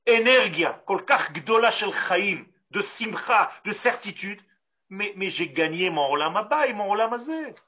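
Lively Israeli rabbi teaching in French. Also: male voice, high-pitched, measured pace. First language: Hebrew. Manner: animated, mixes Hebrew terms, rhetorical questions. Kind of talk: Torah lesson.

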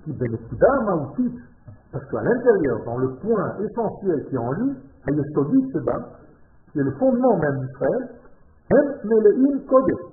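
A man from Israel, speaking French as a learned language and giving a passionate religious lesson to a small group, shifting from quiet talk to loud exclamations. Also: high-pitched, big pitch swings, a little slow. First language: Hebrew. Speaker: religious teacher. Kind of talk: Torah lesson to a live group. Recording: tinny phone-like echoey room.

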